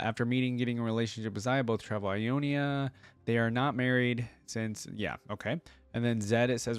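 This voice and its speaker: A man's voice: fairly low pitch, no echo. A man hosting a reaction video, commenting casually and reading text aloud as he goes.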